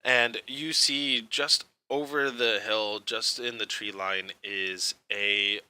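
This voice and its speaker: A man narrating a tabletop roleplaying game as game master, with pauses and fillers. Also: lowish voice, steady tone, sharp tone, clear, resonant tone, rough recording. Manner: hesitant, laughing storyteller.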